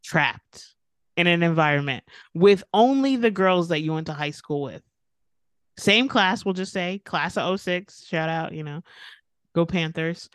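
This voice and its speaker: A woman in her thirties co-hosting a casual podcast, relaxed and slangy, laughing as she talks. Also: fairly low pitch, unhurried, clean tone, dry recording. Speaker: podcast co-host. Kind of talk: casual chat.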